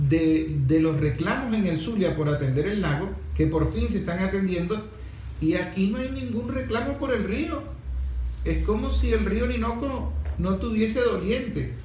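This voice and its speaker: A man with a Venezuelan accent and a somewhat high voice hosts a radio talk show. He explains things reflectively, like a teacher thinking aloud and asking rhetorical questions. The room is very echoey, with faint noise behind him. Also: darkish tone. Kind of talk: conversational radio talk about environmental issues.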